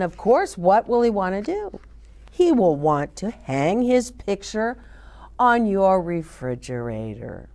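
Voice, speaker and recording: A woman reads a picture book aloud for young children. Her pitch swings in a lively way and she takes her time over the words. As a storytime host, she is expressive and adds chatty asides to her listeners.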